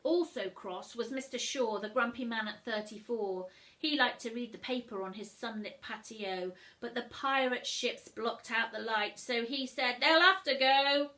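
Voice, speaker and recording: A woman reading a rhyming children's story aloud, as an expressive storyteller. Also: on the high side, a little slow.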